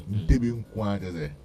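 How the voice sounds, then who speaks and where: Lively Ghanaian radio host, low voice. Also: male voice. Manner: animated, laughing, repeats phrases. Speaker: radio sports show host. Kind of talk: casual bilingual radio banter. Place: radio studio. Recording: radio broadcast with music bed.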